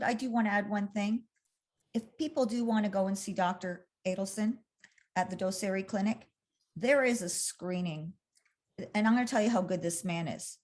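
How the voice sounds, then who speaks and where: A woman's voice: medium pitch, a little mellow. A woman in her sixties, a guest on a talk show, telling her story in an earnest, chatty way. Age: 60s. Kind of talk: casual interview chat.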